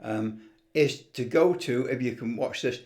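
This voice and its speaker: A man's voice: mid-range voice, unhurried, a little mellow. Enthusiastic older hobbyist man, rambling casually. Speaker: hobbyist video presenter. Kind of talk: casual unscripted vlog talk.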